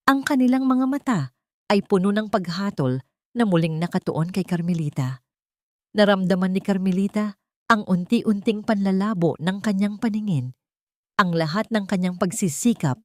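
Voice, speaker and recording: A woman narrates a scripted dramatic story. Her voice sits a little low, and the sound is dry and close, with no room around it. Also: darkish tone, no room sound.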